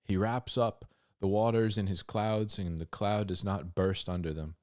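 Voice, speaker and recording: A man hosting a Bible reading, mixing scripture with informal, slangy commentary and animated asides. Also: on the low side, moderate pace, no room sound, studio quality. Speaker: host of a Bible reading show.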